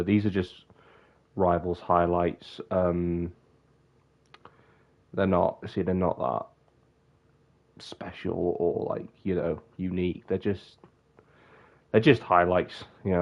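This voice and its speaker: A man's voice: low-pitched, fairly even intonation, measured pace, long pauses, lo-fi sound. A young man with a bunged-up, sniffly voice, rambling casually on a live stream and often breaking off mid-sentence. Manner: rambling, self-interrupting.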